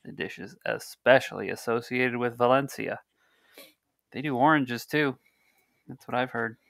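A man's voice: medium pitch, steady tone, moderate pace, vocal fry, a bit bright, dry recording. A man in his thirties hosting a podcast over a video call on a headset mic, chatting loosely and reading news and sponsor copy with small slips. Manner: loose, rambling, reads aloud with small slips. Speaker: podcast host. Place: home room on a video call.

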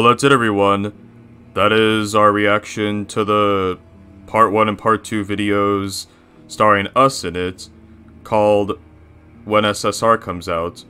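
Man voicing a bright, low, bossy cartoon bear. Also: smooth. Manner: bossy, self-satisfied, rambling. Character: boastful, bossy, threatening cartoon bear boss. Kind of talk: improvised character reaction banter.

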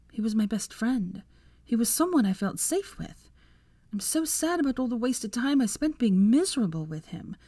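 A woman's voice: a little high, darkish tone, dry recording, studio quality, voice pushed up. A woman voicing a hurt, angry, betrayed wife in a story, earnest, emphatic and resolute.

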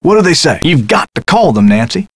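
Husky, animated, folksy theater worker. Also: male voice, fairly high pitch, a little fast, dry recording, studio quality, full character voice. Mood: concerned, friendly. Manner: folksy, encouraging. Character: friendly, helpful, folksy male theater worker. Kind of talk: video game voice acting.

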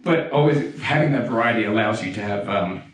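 A young man giving a keynote talk as a pianist, speaking in a relaxed, chatty way with many fillers and restarts, on a handheld mic in a large dark concert hall. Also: fairly low pitch, brisk. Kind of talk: conference lecture with video examples. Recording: roomy hall sound, mic heard from a distance.

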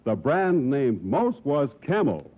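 A man's voice: mid-range voice, big pitch swings, takes it slowly. A man announcing a commercial in the style of 1940s radio advertising. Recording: tinny, band-limited 1940s radio recording.

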